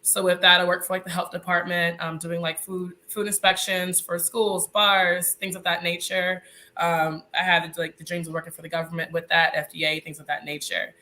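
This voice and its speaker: Guest: 30s woman, stuffy nose, bright nasal voice, laughs easily. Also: lowish voice, flat delivery, normal speed. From Mississippi, US. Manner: chatty, laughs often. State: congested, a little nasal. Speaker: guest, nurse. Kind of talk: casual interview.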